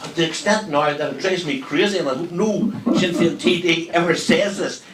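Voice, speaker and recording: A man's voice: a little high, a little fast, a bit bright. A man speaking on a panel at a public meeting, impassioned and emphatic, in a large hall. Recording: echoey hall, distant mic, noticeable hiss.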